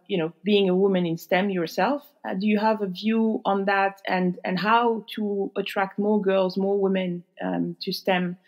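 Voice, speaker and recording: A woman hosting an interview podcast, presenting in a measured, clear way. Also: mid-range voice, a little mellow. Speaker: podcast host.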